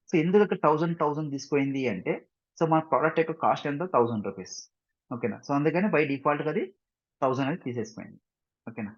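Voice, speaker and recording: Fast-talking Indian man giving a tutorial. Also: medium pitch, dull audio. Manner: repetitive, frequent check-in questions, mixes English terms into speech. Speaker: software tutorial instructor. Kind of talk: screen-share tutorial, informal explanation.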